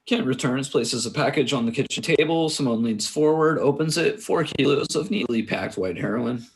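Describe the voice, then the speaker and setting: Man reading screenplay directions, medium pitch. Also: expressive, normal speed, rough recording. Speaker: narrator reading stage directions. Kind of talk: scripted narration at a table read. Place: remote video call.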